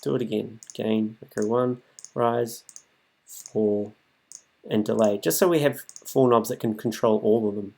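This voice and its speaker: A man's voice: fairly low pitch, measured pace, vocal fry. A man presenting a music production tutorial in a casual, improvised way, thinking aloud as he demonstrates on his computer. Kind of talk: casual, improvised software walkthrough. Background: music loops from the demonstrated software.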